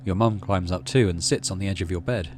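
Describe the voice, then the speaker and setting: A man's voice: sits low, fairly quick, noisy background. A man reading out a story game as narration and adding casual commentary as he plays. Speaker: video game streamer.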